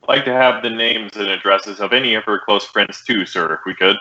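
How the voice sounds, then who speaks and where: An American man playing a terse, businesslike police detective, narrating a radio crime drama in clipped, deadpan, matter-of-fact phrases. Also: on the low side, brisk. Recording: dull vintage radio drama recording.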